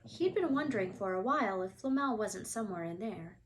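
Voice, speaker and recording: A woman reading a children's book aloud in an expressive storytelling style. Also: medium pitch, fairly quick, slight background noise.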